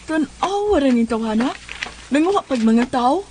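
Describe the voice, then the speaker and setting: An actress with a Cebuano Filipino accent playing an exasperated woman who scolds with drawn-out, emphatic words in a comedy radio drama. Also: high voice, lively intonation, background noise. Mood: scolding. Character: exasperated scolding woman nagging a hot-headed man.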